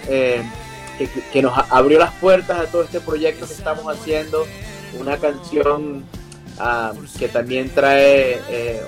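Young Venezuelan male singer, remote guest, hesitant and filler-heavy, soft music under him. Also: a little high. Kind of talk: interview over a video call. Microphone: video call.

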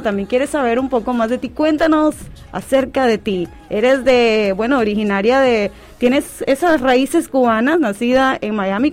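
A woman's voice: on the high side, darkish tone. A woman hosting a casual radio interview, chatty and encouraging as she puts questions to a guest.

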